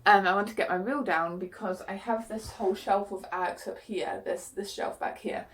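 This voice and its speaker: A young woman, chatting frankly to camera in a vlog with lots of fillers, her voice a bit croaky from being unwell. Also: medium pitch, fairly even intonation, normal speed. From New Zealand. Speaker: book vlogger. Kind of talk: casual chat to camera.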